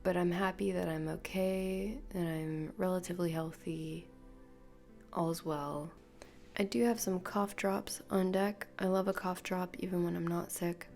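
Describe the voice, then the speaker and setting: A woman hosting a solo podcast, rambling softly and half-whispering, her voice nasal and congested from illness. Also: fairly low pitch, fairly even intonation, unhurried, gravelly, soft background music. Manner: soft, half-whispered, meandering. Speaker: podcast host. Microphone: podcast microphone.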